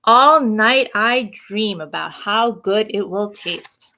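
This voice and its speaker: A woman's voice: mid-range voice, takes it slowly, bright, forceful tone. A woman hosting children's story time, reading a storybook expressively with simple asides and questions for young listeners.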